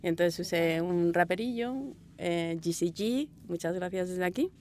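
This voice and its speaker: Woman with a Castilian Spanish accent, slightly low voice, expressive director chatting informally and wandering through her answers. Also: normal speed. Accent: Spanish (Spain). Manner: expressive, rambling, acts out quoted dialogue. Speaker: audio drama director being interviewed. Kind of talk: informal, anecdotal interview answers. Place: small sound booth.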